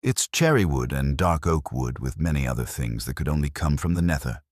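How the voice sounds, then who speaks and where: An extremely low-voiced man narrates a diary-style story. His delivery rises and falls in a lively way, with emphasis on key words. It is scripted first-person storytelling from an amateur reader. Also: a little fast, no room sound, studio quality. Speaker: amateur fan fiction narrator.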